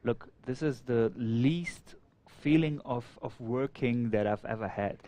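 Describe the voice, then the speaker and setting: A man's voice: medium pitch, measured pace, dark tone. A man from Afghanistan co-commentates a surf event. Persian is his first language, and his English is friendly and casual, with frequent halts and restarts. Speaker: guest co-commentator and event organizer.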